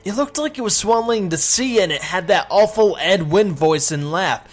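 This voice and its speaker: A man's voice: high-pitched, lively intonation, normal speed, faint music behind. A man playing someone uneasily recalling a creepy childhood puppet show in a dramatic forum reading. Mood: nostalgic dread.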